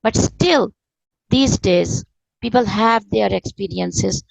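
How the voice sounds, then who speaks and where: A woman's voice: medium pitch, slow pace, vocal fry, no room sound, low-quality recording. A woman, an Urdu speaker, explaining things earnestly and repeating phrases for emphasis as an interview guest. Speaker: interview guest, author and spiritual teacher. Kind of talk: conversational explanation of spirituality.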